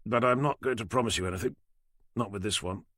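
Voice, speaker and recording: A man voicing a sarcastic male police doctor who talks in a slow, dry drawl. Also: on the low side, fairly bright tone, no echo. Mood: sardonic.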